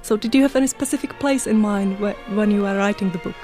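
A woman's voice: medium pitch, quick pace, dark tone, faint music behind. A woman whose first language is Czech, an audience member and physicist asking a prepared question carefully.

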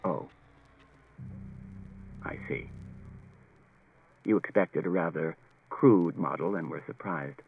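A man reading as a polite, logical male robot, speaking quietly, low and measured in a formal way. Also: vocal fry, slightly rough, phone-quality sound, full character voice. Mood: calm formal.

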